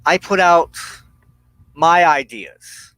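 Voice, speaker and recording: A man hosting a personal spiritual talk, speaking in deliberate, halting phrases with firm emphasis. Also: fairly high pitch, sharp tone, clear, resonant tone, dry recording, poor audio. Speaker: host of a weekly witchcraft broadcast.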